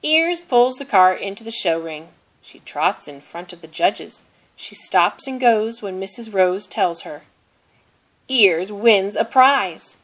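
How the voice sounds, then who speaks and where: A woman reading a children's picture book aloud in a deliberate, simple storytelling manner, with expressive delivery of the characters' lines. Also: mid-range voice. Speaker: storybook reader.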